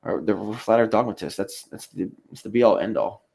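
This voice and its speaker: A man with a fairly low voice and heavy vocal fry hosts a casual live stream. He reads comments aloud and answers in a rambling, halting way, with muttered asides. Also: a little mellow. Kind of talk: casual livestream chat, reading viewer comments aloud.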